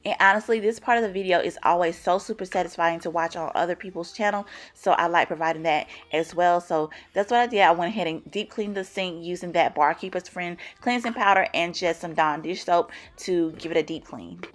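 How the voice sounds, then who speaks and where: Woman, young adult, cleaning vlog voice-over, upbeat, fast-talking. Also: fairly low pitch, soft background music. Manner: upbeat and chatty. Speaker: cleaning vlogger. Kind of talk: voice-over narration.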